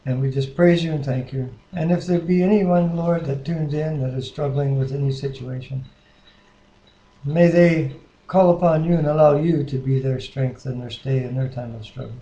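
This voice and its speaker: A man with a slightly high voice speaks as co-host of a home Bible reading. His manner is earnest, reverent and plain. The webcam microphone picks up a very echoey room around him. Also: normal speed, warm tone, lo-fi sound. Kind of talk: casual devotional talk, prayer and scripture reading. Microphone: webcam microphone at a distance.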